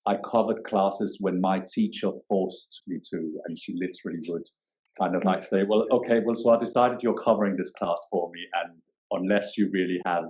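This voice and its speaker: A man in his forties with a very deep, low voice. He talks in a reflective podcast interview, pausing and reworking his sentences as he searches for words. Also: steady tone, darkish tone, low-quality recording. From Denmark. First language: Danish. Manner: thoughtful, searching for words, many restarts. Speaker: yoga teacher and guest.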